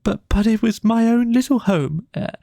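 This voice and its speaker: A little storybook mole, voiced by a man, pitched well up from a normal male voice. He is gentle and homesick, and his words come out broken, stammered and tearful. The sound is close and dry. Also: normal speed, gravelly, no room sound. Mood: sobbing, pleading. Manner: stammering, broken speech with gulps.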